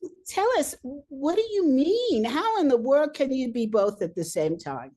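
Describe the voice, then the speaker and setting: A woman's voice: high voice, big pitch swings, unhurried, mellow. A woman hosting a leadership podcast, who talks with enthusiasm and laughs easily. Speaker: podcast host, leadership coach. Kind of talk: conversational interview.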